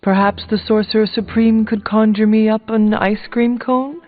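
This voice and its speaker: A woman playing a supernatural warrior hero in a video game, speaking formally and deliberately. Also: fairly high pitch, fairly even intonation, lightly acted. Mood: teasing. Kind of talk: scripted video game dialogue. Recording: muffled phone-like band, music behind.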